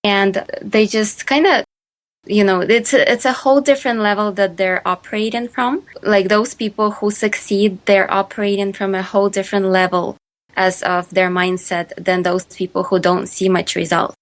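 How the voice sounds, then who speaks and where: A woman's voice: medium pitch, steady tone, moderate pace. A woman from Ukraine with a Ukrainian accent, answering interview questions as a podcast guest, with many fillers and restarts. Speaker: guest entrepreneur.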